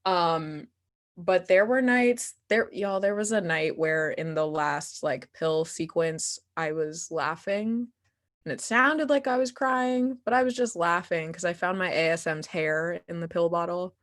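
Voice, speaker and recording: A woman's voice: fairly low pitch, measured pace. An American woman speaking casually and giggly, answering questions after a show as an actor and playwright. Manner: giggly, animated, informal. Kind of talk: casual post-show talk.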